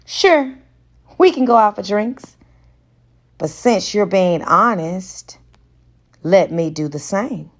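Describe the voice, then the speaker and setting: A seductive, coy woman from a romance audiobook, as a female narrator acts her out. She is playful and flirty, with a slow, lingering delivery. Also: medium pitch, lively intonation. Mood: frank.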